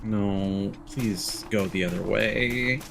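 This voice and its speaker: A man's voice: fairly low pitch, slow, creaky, background noise. A man doing casual live gameplay commentary, rambling as he thinks aloud. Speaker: streamer.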